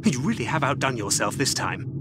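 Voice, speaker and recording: An actor playing a stern, weary warrior and guardian in a video game, speaking in a measured, formal, terse way. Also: male voice, medium pitch, lively intonation, background noise, close to an everyday voice. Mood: scolding. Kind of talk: dramatic video game voice acting. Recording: music behind the voice.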